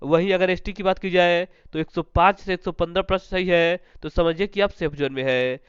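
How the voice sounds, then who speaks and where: A man's voice: high-pitched, fairly quick, clean tone, no room sound, muffled recording. A man presenting information to students as an exam-prep host, in a repetitive, formulaic way, asking questions and answering them himself.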